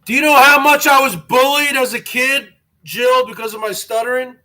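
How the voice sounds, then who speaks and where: A man's voice: extremely high pitch, fairly even intonation, normal speed, sharp tone. A man in his forties with a stutter, hosting a live stream and airing a grievance to his viewers in a repetitive, aggrieved way. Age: 40s. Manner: aggrieved, repeats himself.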